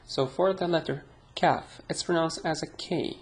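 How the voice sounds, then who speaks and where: A young man with an Arabic accent, a native Arabic speaker, giving a chatty, self-deprecating online pronunciation lesson. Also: on the high side. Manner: slow, chatty, self-deprecating, drawn-out sounds. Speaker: language teacher. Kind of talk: casual online language lesson.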